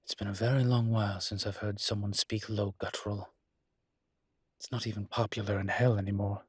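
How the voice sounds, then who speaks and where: A man's voice: fairly low pitch, flat delivery, fairly quick, slightly rough, no room sound, clean studio sound. A man playing a refined incubus demon professor in an intimate audio roleplay, explaining things in a hesitant, genteel and slightly irritated but patient manner. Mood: exasperated, explaining.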